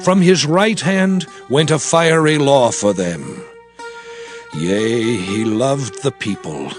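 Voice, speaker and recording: An actor playing Moses as a solemn old prophet, declaiming scripture slowly and deliberately in a dramatized reading. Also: male voice, medium pitch, expressive, over music. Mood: reverent. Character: Moses, solemn old male prophet blessing and exhorting his people.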